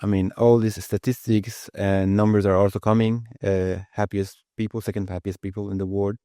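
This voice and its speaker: A man's voice: fairly low pitch, level tone, moderate pace, warm tone, clear, resonant tone, dry recording, studio quality. A man in his thirties whose first language is Persian, answering interview questions in a casual, earnest way and often restarting his phrases. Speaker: guest, IT lead.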